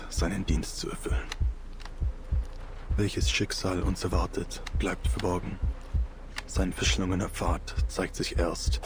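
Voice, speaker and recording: An actor playing a solemn, fatalistic soldier in a war game, speaking gravely in poetic, measured phrases. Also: male voice, low voice, background noise.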